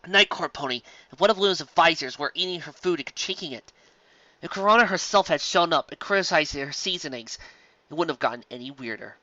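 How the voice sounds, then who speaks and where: A man's voice: on the high side, expressive, quick pace, pushed and bright, no room sound. A man narrating a scripted fan fiction story as an audiobook, on a dull lo-fi recording.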